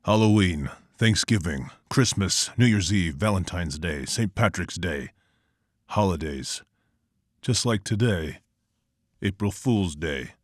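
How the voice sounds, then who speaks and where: A brooding vigilante detective speaks very low and close, with no room around him. He is terse, serious and measured, in motion comic drama. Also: expressive, no echo, heavily acted. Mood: grim. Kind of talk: scripted motion comic acting.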